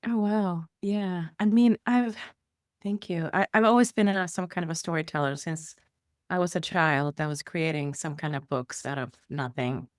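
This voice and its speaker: A woman's voice: on the low side, moderate pace, no room sound, studio quality. A middle-aged woman from Iceland, a guest telling her life story in a rambling way with many fillers and restarts. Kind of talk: conversational interview storytelling.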